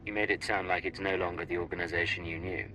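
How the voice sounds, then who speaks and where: A composed male spy in a game cutscene. The voice is very low and strikingly sharp with a gravelly creak, measured and probing. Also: little pitch movement, poor audio. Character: composed, commanding male imperial secret agent. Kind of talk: video game cutscene dialogue.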